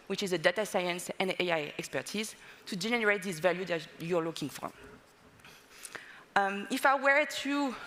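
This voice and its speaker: French-accented woman in her 30s, stage presenter, mid-pitched, boomy hall sound. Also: a bit bright. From France. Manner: fluent but slightly non-native phrasing, many "uh" fillers. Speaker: conference speaker. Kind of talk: conference presentation. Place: large conference hall on stage.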